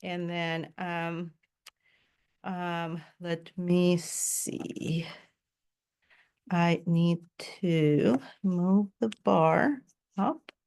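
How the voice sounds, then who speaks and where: A woman's voice: lowish voice, steady tone, extremely slow, gravelly, pauses often, a little mellow, clear, resonant tone. A woman leading a reading group over a Zoom call, talking in a chatty, enthusiastic way with frequent ums and small laughs. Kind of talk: casual Zoom presentation. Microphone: video call.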